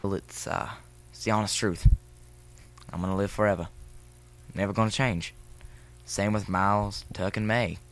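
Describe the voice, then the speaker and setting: Man playing an earnest, low-voiced teenage boy. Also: fairly even intonation, moderate pace, pauses often, close to an everyday voice. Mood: earnest confession. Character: earnest seventeen-year-old boy, secretly immortal. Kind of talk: fan audio drama acting.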